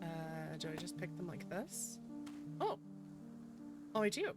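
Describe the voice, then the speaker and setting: Expressive woman streamer with lively pitch. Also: a little slow. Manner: excitable, expressive reactions. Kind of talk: casual video game playthrough commentary. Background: music behind the voice.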